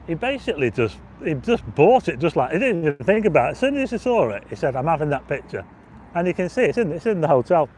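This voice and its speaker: A chatty man talking casually on a livestream, his voice a touch high and going hoarse, with frequent throat clearing. He speaks outdoors on a windy seafront with faint traffic behind him, picked up on a handheld mic. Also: big pitch swings, fast talker, a little mellow. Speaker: livestream host. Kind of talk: casual walking livestream chat.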